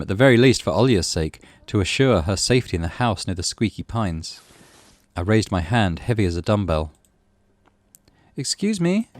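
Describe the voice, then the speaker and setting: A man doing a let's play, reading a visual novel in a dramatic, animated way over game music. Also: low-pitched, dry recording. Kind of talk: let's play, expressive story narration.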